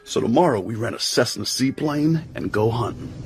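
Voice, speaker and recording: An actor playing a brash, wisecracking American agent and pilot in a radio drama, talking in breezy slang. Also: male voice, mid-range voice, normal speed, faint music behind, natural voice. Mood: brisk, wry.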